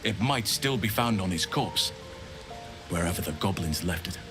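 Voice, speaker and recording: An actor playing a grave, wise, courteous middle-aged druid in a fantasy game, speaking in a measured, formal way. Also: male voice, sits low, lively intonation, music in the background. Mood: measured, thoughtful. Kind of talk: scripted fantasy game dialogue.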